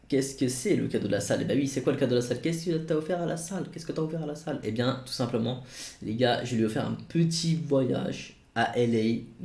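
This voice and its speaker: Young man, 20s, casual YouTuber chat, rambling with fillers, voice of middle pitch, roomy echo. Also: a little mellow. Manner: rambling, many fillers and restarts. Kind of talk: casual vlog to camera. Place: home studio room.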